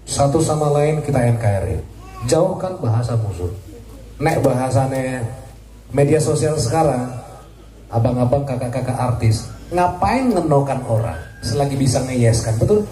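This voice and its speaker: A man, a military officer, giving a joking, lively speech at a community gathering. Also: medium pitch, normal speed. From Indonesia. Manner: jokey and lively, laughs at his own jokes. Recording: echoey, distant, crowd noise.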